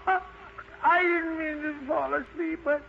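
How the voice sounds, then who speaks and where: A man voicing a timid, sleepy little boy ghost in a storybook puppet show, stammering plaintively in a creaky voice. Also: very high voice, steady tone, measured pace, pitched above the performer's own voice, exaggerated voice. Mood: apologetic, tearful. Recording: muffled lo-fi recording with noise.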